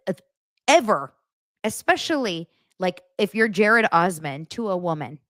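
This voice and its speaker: A woman's voice: mid-range voice, fairly bright tone, no room sound. A woman hosting a daily pop culture show, chatting to her audience in a gossipy, exaggerated way. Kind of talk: casual chat to camera.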